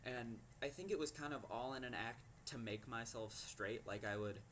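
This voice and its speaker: Teen boy, fifteen, low voice, halting personal story over faint hiss. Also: a bit bright. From Minnesota. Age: teens. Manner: hesitant, many ums and likes. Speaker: teen vlogger.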